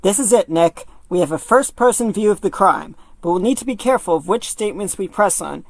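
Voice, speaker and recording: A woman playing a careful, supportive legal partner giving measured advice in a fan audio drama. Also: mid-range voice, expressive. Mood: cautioning. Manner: measured, advising.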